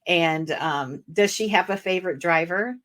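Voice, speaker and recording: An older woman hosts an interview podcast in a rather low voice. She talks in a relaxed, rambling way, with plenty of fillers between her thoughts. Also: normal speed. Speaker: podcast host. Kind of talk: casual interview chat.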